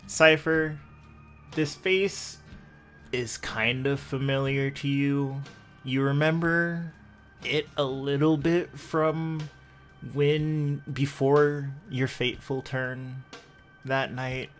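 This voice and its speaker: Man, game master, unhurried suspenseful narration, mid-range voice, tabletop roleplay. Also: mid-range voice, little pitch movement, a bit bright, soft background music.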